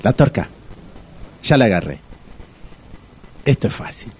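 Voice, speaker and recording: An actor playing an anxious, argumentative man in his sixties in a comic radio drama, with a husky Rioplatense Argentine accent and a pedantic, repetitive manner. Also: fairly low pitch, big pitch swings, measured pace, heavily acted. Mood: lecturing. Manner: exasperated, pedantic, repeats himself. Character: Tito, anxious, argumentative sixty-year-old man, lovesick amateur psychologist.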